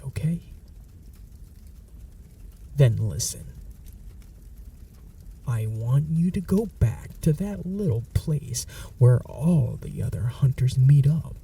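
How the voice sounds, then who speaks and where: A man's voice: mid-range voice, mellow, recorded from a distance, exaggerated voice. A man voicing a menacing, possessive male vampire in an ASMR audio roleplay, speaking in a whispery, mocking, threatening way. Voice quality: whispery, gravelly.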